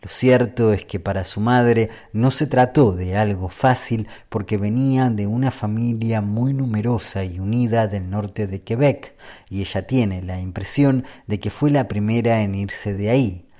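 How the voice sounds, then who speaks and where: Low, mellow-voiced male radio narrator. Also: a little slow. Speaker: radio reporter. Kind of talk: scripted radio feature narration.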